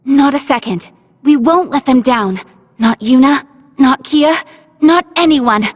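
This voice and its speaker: An actress playing a caring, earnest young female squad member with a husky voice in a video game cutscene. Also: sits high, animated, a little slow, in a large hall. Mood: determined. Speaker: voice actor.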